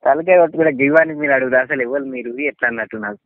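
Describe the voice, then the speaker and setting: Fast-talking Telangana man on a tinny phone line. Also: medium pitch, dry recording. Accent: Telangana Telugu. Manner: blunt, questioning, wary. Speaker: friend receiving a prank phone call. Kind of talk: casual phone chat. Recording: tinny, dry, band-limited phone line.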